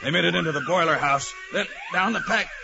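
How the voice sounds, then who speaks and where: Strained, creaky wounded prison guard. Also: male voice, on the high side, expressive, fast talker. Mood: pained urgency. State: hurt, strained. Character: wounded, breathless male prison guard reporting a breakout. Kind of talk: 1940s radio acting. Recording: noisy, dull vintage 1940s radio recording.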